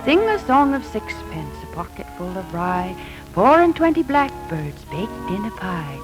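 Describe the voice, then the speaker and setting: Vintage radio with music, gentle young wife, expressive swooping intonation, tender, coaxing, hopeful. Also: female voice, mid-range voice, a little slow, low-quality recording. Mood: storytelling. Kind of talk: 1940s radio acting.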